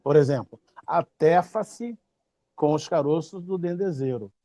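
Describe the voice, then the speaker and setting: A man's voice: on the high side, unhurried, dry recording. Emphatic Brazilian man in his fifties. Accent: Brazilian Portuguese. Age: 50s. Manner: emphatic, repeats phrases, lively storytelling. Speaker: babalawo teacher. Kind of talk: casual explanatory talk.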